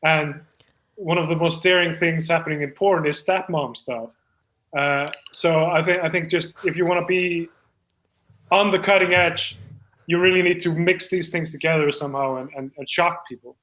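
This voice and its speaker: A man playing a pretentious amateur screenwriter in a comedy table read, with a halting, self-important way of talking. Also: a little high, fairly even intonation, fairly bright tone, natural voice, poor audio. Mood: pretentious, rambling. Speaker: screenwriter reading stage directions at a table read.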